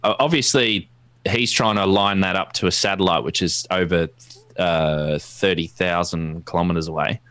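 An Australian man giving an informal technical presentation in a halting, explanatory way. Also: low-pitched, a bit bright, no echo. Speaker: guest presenter, amateur radio enthusiast. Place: indoors at a desk, video call.

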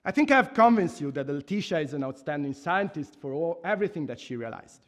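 Give me a man with an Italian accent delivering a measured formal tribute in a lecture hall, his voice a touch high. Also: big pitch swings. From Italy. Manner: earnest, measured, with restarts and emphatic pauses. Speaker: professor giving a laudation speech. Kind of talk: formal speech read from notes. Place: university lecture hall, at a lectern. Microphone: microphone on a stand at a lectern.

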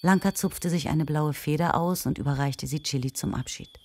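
A woman with a low, husky voice narrating a scripted children's audio play as storyteller. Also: moderate pace, darkish tone, slight background noise.

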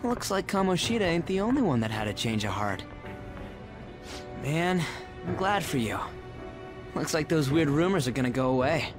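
A man's voice: on the high side, sing-song, moderate pace, pauses often, music in the background. An actor playing a brash, slangy, good-hearted teenage delinquent boy in an English video game dub. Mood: relieved.

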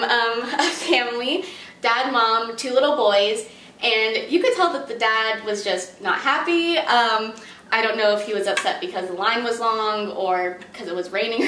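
A woman's voice: on the high side, a bit bright. Chatty young woman on a phone call. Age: young adult. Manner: upbeat and chatty, many fillers and little laughs. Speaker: job applicant in a phone interview. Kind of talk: answering interview questions on the phone. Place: home kitchen, indoors. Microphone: far camera on a tripod while she talks on a phone. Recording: roomy kitchen sound from a distant camera.

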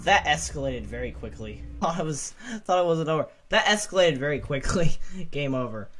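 A man's voice: fairly high pitch, lively intonation, normal speed, fairly bright tone, a little hiss or hum. A man giving casual, understated commentary on a game. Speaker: gamer.

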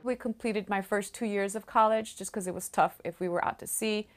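A woman's voice: mid-range voice, steady tone, a little fast. A woman from El Paso, Texas, whose first language is Spanish, speaking plainly in an interview in a classroom. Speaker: math teacher, Navy veteran. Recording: music bed under interview.

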